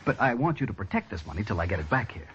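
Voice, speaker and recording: An excitable small-town banker in a radio western, quick and swooping, fussy and eager. The recording is old, dull and noisy. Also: male voice, fairly low pitch. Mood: flustered, eager. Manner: excitable, stammering. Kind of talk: 1950s radio western acting.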